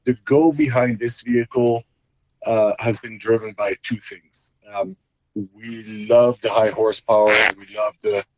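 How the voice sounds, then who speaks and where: A man's voice: lowish voice, steady tone, a little slow, darkish tone, poor audio. A middle-aged man from Belgium, a guest explaining his custom Jeep builds in casual talk, with many fillers and restarts.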